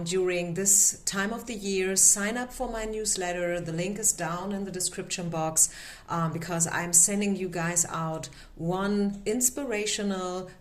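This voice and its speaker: Woman, German accent, non-native English, tarot reader, slow unhurried delivery, roomy echo. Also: mid-range voice. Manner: unhurried, gently reassuring, frequent filler words. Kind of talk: tarot reading vlog.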